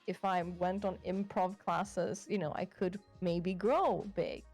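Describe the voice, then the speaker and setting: A woman's voice: on the low side, steady tone, slow, a little mellow, faint music behind. A woman from Sweden with a Swedish accent, a VTuber guest chatting casually, reflective and self-conscious, laughing easily. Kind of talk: casual chat.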